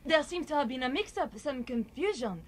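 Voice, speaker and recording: High-voiced, formal household maid. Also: female voice, expressive, fairly quick. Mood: dutiful. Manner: deferential, formal, literal. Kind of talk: radio drama acting. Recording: faint background noise.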